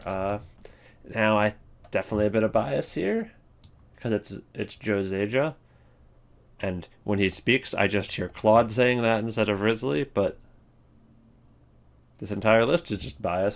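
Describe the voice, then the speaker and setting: Low-voiced man rambling casually. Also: measured pace, vocal fry, long pauses. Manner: rambling, thinking aloud. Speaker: host ranking video game voice lines. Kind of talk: casual unscripted commentary.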